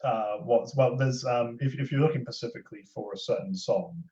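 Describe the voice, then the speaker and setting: A man chatting casually on a podcast in a hesitant, wordy, measured way. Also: mid-range voice, warm tone. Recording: dull, lo-fi audio.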